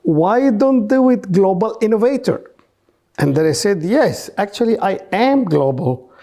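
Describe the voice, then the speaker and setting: A man from Egypt telling his story as an interview guest in non-native English, haltingly, repeating words for emphasis. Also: high voice, expressive, mellow. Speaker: podcast guest, researcher and businessman.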